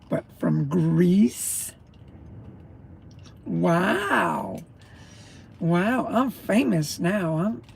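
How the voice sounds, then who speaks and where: Slow, gravelly man chatting on a livestream. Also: high-pitched, a little mellow, a little hiss or hum, poor audio. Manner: rambling, greeting viewers by name; chewing while talking. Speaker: truck driver and livestreamer. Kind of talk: casual morning livestream chat.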